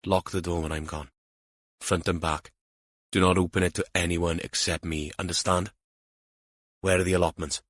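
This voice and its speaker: Tense male police officer, read by a narrator: clipped, commanding, low-pitched, husky edge, audiobook crime mystery. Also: level tone, normal speed, long pauses, fairly bright tone, no room sound, clean studio sound. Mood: quiet, urgent. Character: tense, determined policeman keeping himself under control.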